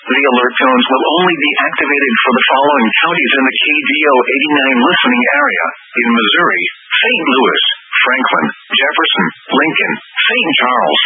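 A man reading a scripted emergency test announcement as a weather radio announcer. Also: mid-range voice. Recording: muffled tinny radio broadcast, faint music.

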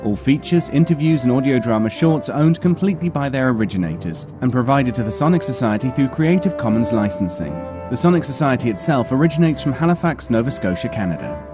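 A man's voice: mid-range voice, expressive, fast, few pauses. A man reading scripted credits as a podcast announcer. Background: music.

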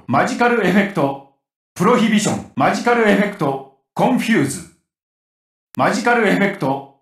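A man playing a gruff, brash, rough-spoken warrior in a Japanese anime video game. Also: sits high, roomy sound, distant mic, full character voice. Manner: forceful, rough-spoken, cocky. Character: gruff, brash grown male warrior who loves cooking. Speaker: game voice actor.

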